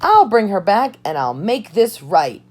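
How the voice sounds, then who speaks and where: Woman voicing impulsive young storybook princess: earnest, emotional, raised pitch, animated intonation. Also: measured pace. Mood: determined. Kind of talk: storybook read-aloud dialogue. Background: background music.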